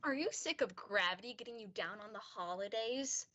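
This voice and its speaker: A woman's voice: mid-range voice, moderate pace, sharp tone, dull audio, natural voice. A young woman playing a peppy saleswoman in a parody radio commercial. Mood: upbeat selling.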